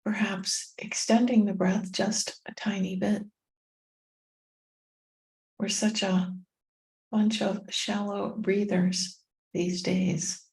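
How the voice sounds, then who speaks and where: Slow, mellow woman guiding meditation. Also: mid-range voice, level tone, vocal fry, low-quality recording. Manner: soothing, gentle, with long pauses between short phrases. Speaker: meditation guide and tapping coach. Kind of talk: guided meditation.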